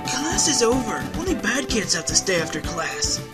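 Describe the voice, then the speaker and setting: A woman's voice: medium pitch, big pitch swings, normal speed, over loud music, natural voice. A woman voicing a chirpy, eager young student mage in a game fan dub. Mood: chatty, earnest.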